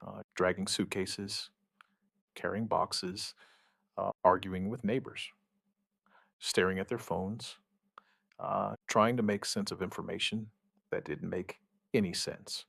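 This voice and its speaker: An actor playing a shaken outbreak survivor, giving his account in halting, somber, dramatic narration with short deliberate phrases. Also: sits low, vocal fry, fairly bright tone, breathy edge, no room sound, clean studio sound, exaggerated voice. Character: shaken male outbreak survivor recounting events, dryly stubborn. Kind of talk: dramatic first-person scripted narration, witness account.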